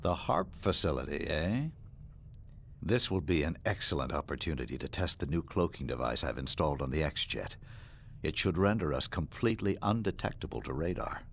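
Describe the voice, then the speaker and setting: A man's voice: rumbling low, expressive, moderate pace, creaky, a little hiss or hum, exaggerated voice. A man playing a learned, genial scientist hero in a game, speaking in a precise, erudite way. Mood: keen, scholarly.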